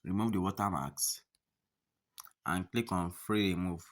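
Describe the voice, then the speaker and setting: A man's voice: low voice, a little slow. A man narrating a step-by-step app tutorial, often repeating his phrases and instructions. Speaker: tutorial host.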